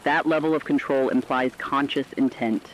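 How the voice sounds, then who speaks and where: A man playing a grave radio news anchor, delivering scripted reports in a serious, measured and formal way. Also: mid-range voice, slight background noise, lightly acted, poor audio. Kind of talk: scripted breaking news narration.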